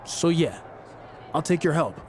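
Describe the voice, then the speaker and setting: An actor playing an earnest, determined wrestling champion in a video game cutscene. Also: male voice, on the high side, expressive, measured pace, slight background noise. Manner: earnest, questioning.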